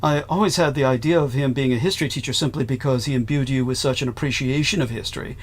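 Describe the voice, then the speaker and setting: A man's voice: mid-range voice, quick pace. A man hosting a podcast conversation, formal and effusive, often restarting his sentences. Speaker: host.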